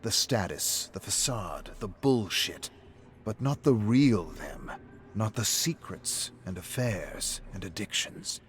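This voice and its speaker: A man's voice: lowish voice, animated, slow pace, a little hiss or hum. A man giving a scripted, dramatic narration of a gritty game story. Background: music.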